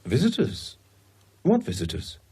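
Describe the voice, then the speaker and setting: A man's voice: on the high side, wildly swooping pitch, measured pace, dark tone, slight background noise, full character voice. An actor playing a shaken, wry British man haunted by a curse in a radio drama, hesitant and understated in manner. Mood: shaken, puzzled.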